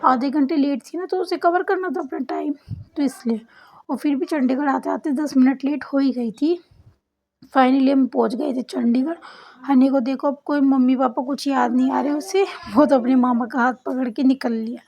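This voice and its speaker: A young woman with a sore, hoarse throat, chatting to her viewers in a voice-over for her travel vlog. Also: high-pitched, fairly even intonation, moderate pace, a little mellow, muffled recording. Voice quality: husky, cracked voice. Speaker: vlogger. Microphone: voice-over recorded separately.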